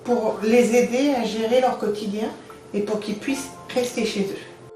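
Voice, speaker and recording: A woman's voice: fairly high pitch, steady tone, moderate pace, warm tone. A woman giving a candid, conversational interview testimonial as a home care worker. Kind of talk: interview testimonial about their job. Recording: echoey room, distant mic, music bed. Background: music.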